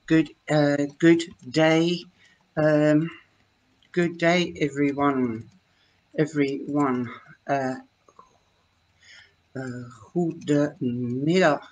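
An older woman with a Dutch accent, talking slowly into her webcam at home and explaining her fortune-telling cards in a chatty, hesitant way. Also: low-pitched, fairly even intonation, a little mellow. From Netherlands. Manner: slow, chatty, with many hesitations. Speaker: tarot reader. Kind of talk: live-streamed card reading talk. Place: home room, indoors. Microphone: webcam at desk.

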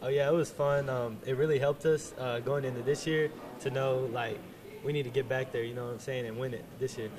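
A young man, a high school football player, answering in a polite, relaxed way. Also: a little fast, crowd noise.

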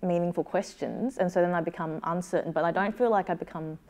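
A woman's voice: on the low side, quick pace, a little mellow. An Australian woman in her thirties speaking thoughtfully and hesitantly as a playwright in a casual interview. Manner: hesitant, thoughtful, self-deprecating. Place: small room, seated indoors.

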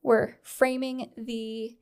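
Young woman host with creaky voice. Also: a little high, flat delivery, extremely slow, darkish tone. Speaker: podcast host. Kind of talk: casual interviewing. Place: small indoor podcast studio. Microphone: close boom-arm studio microphone.

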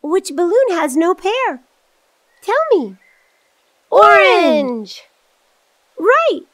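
A woman's voice: very high-pitched, huge pitch swings, no room sound, exaggerated voice. A woman voicing a cheerful, curious little girl who kindly guides young viewers in a children's cartoon. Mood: excited, encouraging. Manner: slow, clearly enunciated, questioning, exclaiming. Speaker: host character of a children's cartoon.

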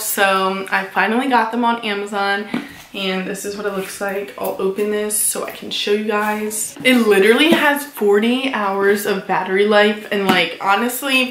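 A young woman in her late teens chats through a haul video, peppering her speech with "like". Her voice is a little hoarse from a cold. The sound is roomy, with a faint hiss behind her. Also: mid-range voice, steady tone, moderate pace. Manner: chatty, many "like" fillers, upbeat about purchases. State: recovering from a cold, somewhat hoarse. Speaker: vlogger. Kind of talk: casual haul vlog.

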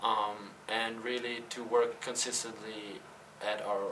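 A man's voice: lowish voice, little pitch movement, slow. A young male college tennis player answering post-game questions, hesitant, with many fillers and restarts. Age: young adult. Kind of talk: post-game press interview. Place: press backdrop room. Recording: slightly distant, faint room noise.

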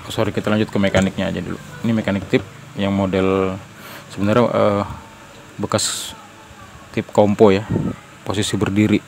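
Low-voiced man, noisy recording. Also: flat delivery, vocal fry, darkish tone. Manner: halting, informal, pauses mid-phrase. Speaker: host of a DIY audio channel. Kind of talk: casual hands-on demonstration.

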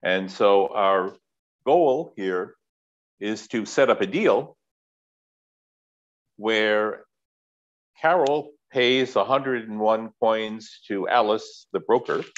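An older man giving a keynote lecture from a home office over a headset, speaking in a measured way with pauses and frequent throat clearing. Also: fairly low pitch. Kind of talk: academic lecture. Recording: dull lo-fi video call audio.

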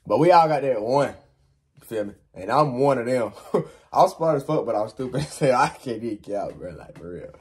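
A young man hosting a music reaction, hyped and slangy, swinging from loud exclamations to mumbled asides in a small bedroom. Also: mid-range voice, animated, moderate pace, husky. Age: young adult. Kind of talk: excited reaction commentary.

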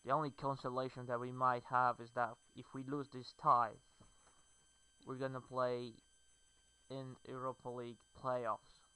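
Clear, bright-voiced Brazilian man. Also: medium pitch, level tone, unhurried, no echo, rough recording. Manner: hesitant, fillers, non-native phrasing. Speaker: gamer. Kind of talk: game commentary.

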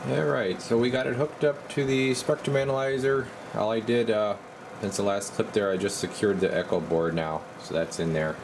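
A man with a low, gravelly voice casually talks through a hands-on repair as a technician, plain-spoken and thinking aloud. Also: steady tone, normal speed, background noise. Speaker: radio repair technician presenting his work.

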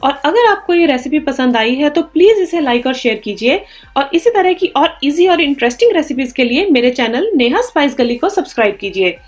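High-voiced woman explaining a recipe. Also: sing-song, a little fast. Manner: clear, step-by-step instructional. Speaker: cooking channel host. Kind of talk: recipe tutorial narration. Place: home kitchen, indoors.

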